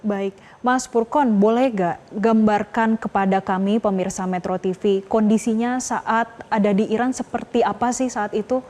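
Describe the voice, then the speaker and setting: A woman news anchor reading the news and interviewing a guest in a formal, measured manner. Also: mid-range voice, steady tone, dark tone.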